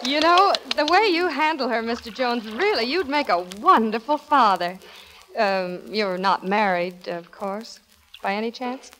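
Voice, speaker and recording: A woman's voice: a little high, expressive, a little slow, a bit bright. An actress playing a flustered, stammering woman who fakes being a seasoned wife and mother in a 1940s radio comedy. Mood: coy. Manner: stammering, evasive, nervously breezy. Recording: noisy 1940s radio broadcast with clicks.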